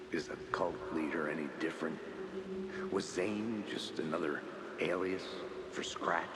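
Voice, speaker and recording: Game noir lead: grim hard-boiled detective, extremely low voice, brooding narration, heavy reverb. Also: male voice, heavy background noise. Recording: long reverb, loud background ambience.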